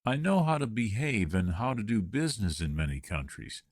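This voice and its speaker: Guy answering interview questions from a script, lively pitch, dry close sound. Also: moderate pace, no echo. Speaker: job candidate in a practice interview. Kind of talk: scripted dialogue for English learners.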